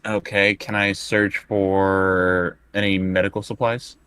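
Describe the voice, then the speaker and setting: A man's voice: deep voice, little pitch movement, slow, fairly bright tone, clear, resonant tone, dry recording. A man chatting casually as a tabletop roleplaying player, thinking aloud and working through his choices bit by bit.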